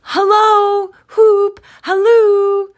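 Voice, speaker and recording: An old miser from a storybook, played by a woman, exclaims in childlike ecstasy. The voice leaps high and loud, excitable and close to tears. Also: slow pace. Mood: excited, tearful. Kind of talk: storybook character voice.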